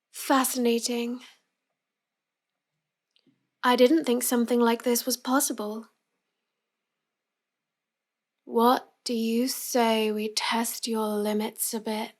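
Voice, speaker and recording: A woman playing a cute, smug young villainess who teases and manipulates the listener in a scripted roleplay audio. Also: a little high. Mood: intrigued, commanding. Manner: slow, smug, taunting delivery.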